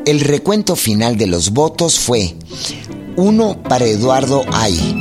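A man giving measured, formal scripted narration for a historical radio drama. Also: medium pitch, very animated, over loud music.

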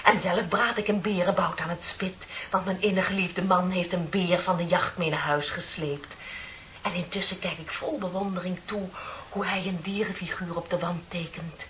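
A woman's voice: on the low side, fairly even intonation, normal speed, a bit bright, lightly acted. An actress playing a chatty, dreamy younger female office clerk in a Dutch radio play, with a theatrical, wryly ironic way of talking. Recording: dull, hissy old radio recording.

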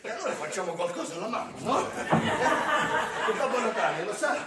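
An actor playing a frazzled, short-tempered Santa Claus in a live comic stage monologue, coaxing sweetly and then shouting. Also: male voice, sits high, very animated, moderate pace, a loud crowd. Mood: exasperated. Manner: sugary coaxing that tips into shouting. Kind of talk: live theatre comedy monologue. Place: theatre stage. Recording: distant, roomy live stage recording with noise.

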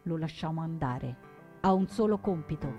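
An actress playing a proud young woman partisan in her twenties, telling her story in measured, earnest theatrical narration. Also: low voice, animated, dark tone, dull audio. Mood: grave. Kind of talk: scripted theatrical first-person narration. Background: plucked guitar music.

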